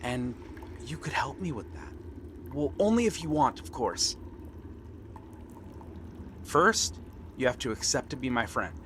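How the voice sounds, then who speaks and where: Clear water ambience surrounds an eager young pirate prince, acted by a man. He speaks slowly and earnestly in a medium-pitched voice, pausing often. The delivery is gentle and friendly, in the style of an ASMR fantasy roleplay. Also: sing-song, a little hiss or hum. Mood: eager, hopeful. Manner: earnest, gentle, unhurried talk with pauses. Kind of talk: ASMR roleplay acting, one-sided dialogue. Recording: water and ambient sound effects behind. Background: seaside ambience, water sounds.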